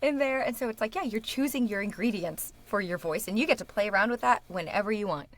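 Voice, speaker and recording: A woman's voice: medium pitch, lively intonation, dry recording. Eager, laughing woman talking shop. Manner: enthusiastic, quick to laugh, restarts mid-sentence. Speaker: guest, speech-language pathologist. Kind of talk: casual interview chat.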